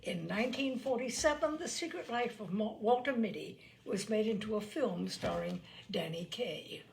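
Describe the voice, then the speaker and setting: A woman reading literary stories aloud as a storyteller, with measured, deliberate, expressive phrasing. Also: mid-range voice, vocal fry, slight background noise, poor audio.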